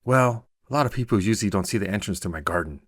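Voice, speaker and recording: A man's voice: lowish voice, lively intonation, fast talker, no room sound, clean studio sound. A man voicing a reclusive, wary middle-aged woman with a silky, guarded, terse way of speaking in a short story. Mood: wary, curt.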